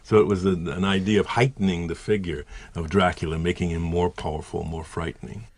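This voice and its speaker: Brief: male author interviewee, lowish voice, dry close sound, chatty corrections. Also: fairly even intonation, fairly quick, dry recording. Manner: conversational, self-correcting explanation. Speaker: author and historian. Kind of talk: expert interview commentary.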